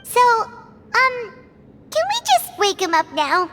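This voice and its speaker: An actress voicing a tiny, excitable, childlike fairy companion with a squeaky voice in a video game. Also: female voice, slow, a little hiss or hum, full character voice. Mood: hesitant.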